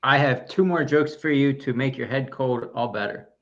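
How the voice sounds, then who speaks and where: A man's voice: mid-range voice, normal speed, fairly bright tone, muffled recording. A man co-hosting a running podcast in casual chat, goofy and full of corny jokes delivered with mock pride. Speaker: podcast co-host.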